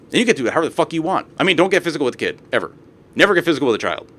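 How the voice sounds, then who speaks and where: Profane ranting man with lively pitch. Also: very fast. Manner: profane, ranting, reads posts aloud with asides. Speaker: streamer. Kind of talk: live stream commentary on reader posts.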